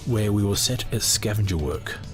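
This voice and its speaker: A man's voice: fairly low pitch, normal speed, music in the background. A man hosting a book-reading podcast, moving between reading aloud and informal, thinking-aloud commentary. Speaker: podcast host and book reader.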